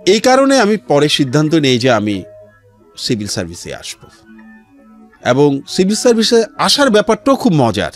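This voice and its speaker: A middle-aged man with a Bangladeshi accent telling his life story as an interview guest, in a measured way with pauses and some English words mixed in. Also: fairly high pitch, wildly swooping pitch. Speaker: interview guest, civil servant. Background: background music.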